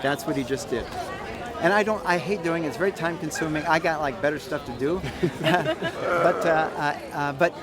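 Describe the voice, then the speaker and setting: A man's voice: on the high side, moderate pace. An American man speaking off the cuff as an activist in an outdoor interview, emphatic and self-assured, repeating phrases for emphasis. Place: outdoors at a public rally. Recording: outdoor crowd noise behind voice. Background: crowd chatter.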